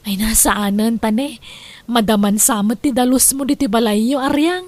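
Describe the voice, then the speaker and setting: Scheming married woman female actor, radio drama, high voice, insistent wheedling delivery. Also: moderate pace, darkish tone, no room sound, natural voice. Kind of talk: Ilocano radio drama acting.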